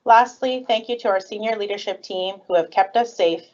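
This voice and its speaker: Woman principal, sincere thank-you address, mid-pitched, muffled recording. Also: moderate pace, darkish tone. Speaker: elementary school principal. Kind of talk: scripted school address. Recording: dull lo-fi sound.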